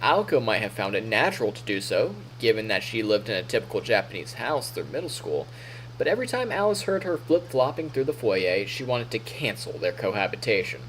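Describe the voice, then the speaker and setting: A man reads a visual novel aloud as a streamer, with very animated, swinging intonation and a playful storyteller's delivery. He mixes narration with casual asides, and faint noise sits behind his voice. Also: on the low side, fairly quick, low-quality recording.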